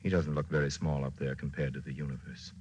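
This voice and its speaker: An actor narrating a solemn, oratorical patriotic radio drama in 1940s style. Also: male voice, a bass voice, little pitch movement, quick pace, lo-fi sound. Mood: tender, solemn. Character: solemn, stirring male narrator of wartime America. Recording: noisy old 1940s radio recording.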